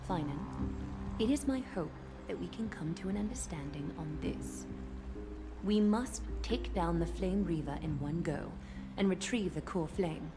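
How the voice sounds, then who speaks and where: A woman playing a composed, commanding leader in a video game, speaking in a formal, measured and authoritative way. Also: lowish voice, expressive, music in the background. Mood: formal, resolute. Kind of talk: scripted video game dialogue.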